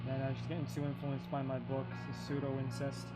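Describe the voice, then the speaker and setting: A man's voice: mid-range voice, monotone, a little fast, low-quality recording. A man streaming casual chat, given to teasing, sweary banter. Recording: music playing behind the voice. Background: plucked guitar music.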